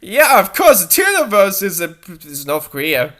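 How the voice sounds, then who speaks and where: Theatrical woman reader, very lively intonation. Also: mid-range voice, moderate pace. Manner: exasperated, theatrical. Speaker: fanfic reader and commentator. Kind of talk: mocking dramatic story reading with asides.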